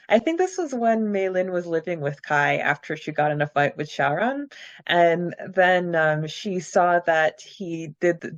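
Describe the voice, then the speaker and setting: Low-voiced chatty woman podcaster. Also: dry recording, muffled recording. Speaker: podcast co-host. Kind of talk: casual chat and reading listener questions aloud.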